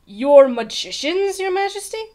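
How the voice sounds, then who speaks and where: A woman's voice: fairly high pitch, sing-song, moderate pace, a little mellow. Woman as an earnest, anxious young page boy. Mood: alarmed. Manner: deferential, pleading, gasping. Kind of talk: storybook character voice.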